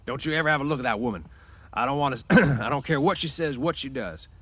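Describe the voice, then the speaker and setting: A man's voice: mid-range voice, fast, fairly bright tone, no echo, pitched above the performer's own voice, lightly acted. A man voicing a protective, bossy ranch hand with brusque, scolding, slangy country speech.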